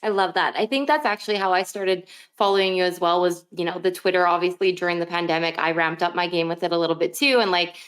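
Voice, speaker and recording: A woman's voice: fairly low pitch, fairly even intonation, quick pace, few pauses. A woman hosting a sports podcast, chatting casually and informally with plenty of slang.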